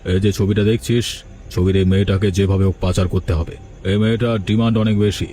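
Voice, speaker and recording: A man's voice: deep voice, fairly even intonation, brisk, mellow, lightly acted. A man playing a bossy, scheming criminal in a cartoon story, talking in a familiar, commanding way. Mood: commanding, secretive. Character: scheming male village trafficker and his masked boss. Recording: music behind the voice. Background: background music.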